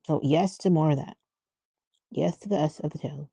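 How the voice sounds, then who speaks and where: A woman with a very soft-edged, dark voice and a creaky fry talks through her art on a casual livestream. She muses aloud and lets thoughts trail off. The sound is close and dry. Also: low-pitched, normal speed, dry recording. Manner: musing, trailing off mid-thought. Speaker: artist streamer thinking aloud while drawing.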